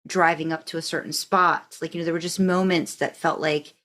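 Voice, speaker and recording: A woman with a fairly deep voice hosts a relaxed group conversation. She talks in loose, rambling thoughts and encourages the people she is speaking with. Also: brisk. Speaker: podcast host. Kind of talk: casual group discussion.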